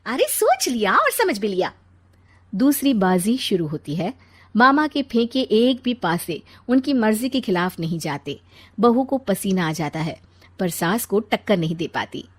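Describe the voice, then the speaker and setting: Woman storyteller reading a family tale, lively intonation, scripted narration. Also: mid-range voice, measured pace.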